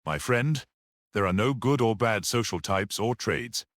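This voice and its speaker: A man reads as a little priest detective from a classic mystery audiobook. He is composed and philosophical, reasoning in measured phrases with a lively rise and fall, recorded close and dry. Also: fairly low pitch, no echo. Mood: reflective, earnest.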